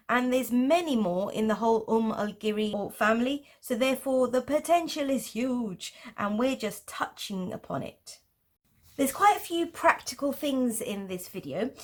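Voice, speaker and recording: A woman with a Pakistani English accent, patiently explaining geometric drawing in a tutorial. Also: on the high side. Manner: patient, explanatory, with light laughs. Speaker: art teacher.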